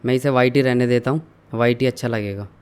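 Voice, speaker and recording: Young guy doing a screen tutorial, flat even voice, clear smooth tone, explains every step. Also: medium pitch, quick pace. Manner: steady step-by-step explaining, mixing in English terms. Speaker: online tutorial instructor. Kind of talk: screen-share software walkthrough.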